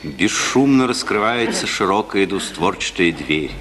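Deep-voiced formal male radio narrator. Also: expressive. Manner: formal, measured. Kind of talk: scripted scene-setting narration, 1950s radio. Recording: old noisy hall-reverb radio recording.

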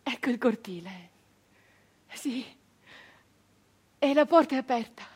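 Wistful 1800s peasant brigand woman, highish husky voice, halting stagey radio monologue. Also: animated, darkish tone, exaggerated voice. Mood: wondering, tender. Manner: hesitant repetitions, halting, emotional reminiscence. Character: 19th-century Italian peasant-born brigand woman, wistful, remembering home. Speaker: stage actress. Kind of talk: theatrical dramatic monologue for radio.

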